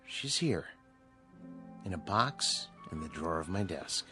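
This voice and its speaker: Calm shrink in a radio drama, lowish voice that moves around a lot, explains things carefully. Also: male voice, loud music behind the voice. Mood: firm, probing. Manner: measured, explanatory. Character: calm, authoritative male psychiatrist at a mental hospital.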